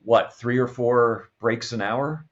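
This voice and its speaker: A middle-aged man hosting a casual podcast chat, speaking haltingly and repeating words as he thinks. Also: medium pitch, fairly even intonation, dull audio. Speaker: podcast host, radio comedy producer.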